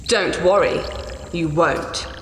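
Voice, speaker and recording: Commanding alien daughter in echoey hall. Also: female voice, on the low side, takes it slowly. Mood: righteous anger. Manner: firm, formal, commanding. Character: resolute alien daughter, a commanding rebel out to cure her people. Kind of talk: sci-fi audio drama acting. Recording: echoey hall, background noise.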